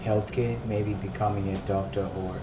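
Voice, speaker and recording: A man's voice: on the low side, little pitch movement, moderate pace, echoey room, far from the mic, over loud music. A young man from Zimbabwe, a college junior, answering interview questions thoughtfully. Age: young adult. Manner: thoughtful, starts with uh. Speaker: student interviewee.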